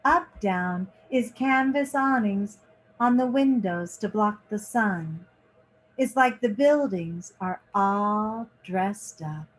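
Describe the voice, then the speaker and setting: Slow-paced woman reading a picture book. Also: mid-range voice, lively intonation, poor audio. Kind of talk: children's picture book read-aloud.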